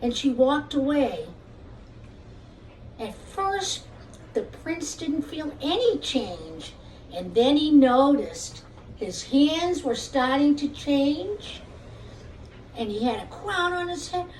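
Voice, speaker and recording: High-voiced woman telling stories to children, slowly. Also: very animated, darkish tone. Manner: simple, deliberate phrasing with pauses. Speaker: teacher telling a story with puppets. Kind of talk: storytelling for young children. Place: room at home. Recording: roomy, distant mic, faint noise.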